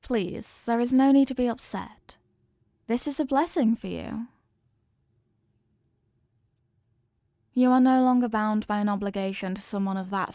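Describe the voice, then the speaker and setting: A woman voicing a possessive, regal evil queen in a scripted ASMR roleplay, speaking formally and in measured phrases, doting yet coolly manipulative. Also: on the high side, dry recording, clean studio sound, lightly acted. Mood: coaxing, possessive. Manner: formal, measured, softly commanding.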